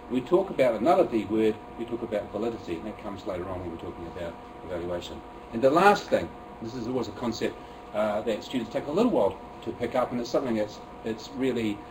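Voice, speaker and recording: A man's voice: mid-range voice, far from the mic, noisy background. A man with a New Zealand accent presenting a teaching method to a room of fellow teachers, chatty and self-deprecating, with many fillers and restarts. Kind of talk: workshop presentation. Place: room with an audience.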